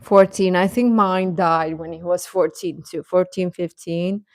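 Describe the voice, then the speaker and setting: A woman's voice: on the low side, fairly even intonation, a little slow, dry recording. A young adult woman hosting a live stream, chatting informally with her viewers and often chuckling as she talks.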